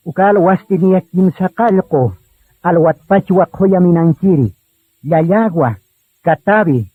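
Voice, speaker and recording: Casting: male radio drama narrator, solemn, slightly high and raspy, thin narrow-band recording. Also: no echo.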